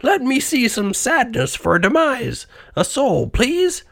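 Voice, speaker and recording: An old storybook miser, acted out by a male narrator, pitched high and swooping with emotion. He is theatrical and earnest, every phrase worked up, in a dry recording. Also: huge pitch swings, a little slow, creaky, fairly bright tone, no room sound, exaggerated voice. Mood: desperate pleading. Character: wicked old miser, frightened, pleading, repentant.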